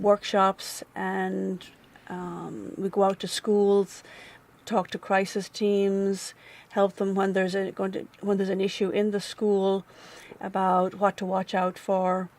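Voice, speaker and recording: A middle-aged woman with an Irish accent, answering interview questions about a grief center in a thoughtful, measured way, often pausing with um. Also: medium pitch, little pitch movement, gravelly, a little mellow. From Ireland. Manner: thoughtful, measured, with frequent um and restarts. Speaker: community manager at a grief center.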